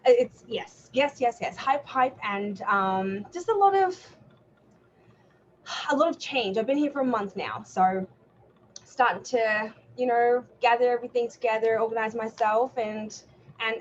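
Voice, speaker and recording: A woman's voice: on the high side, dull audio, slight background noise. An Australian woman chatting casually and effusively as a guest on an online show. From Melbourne, Australia. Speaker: podcast guest, cosplay artist. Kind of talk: casual chat. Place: remote online call.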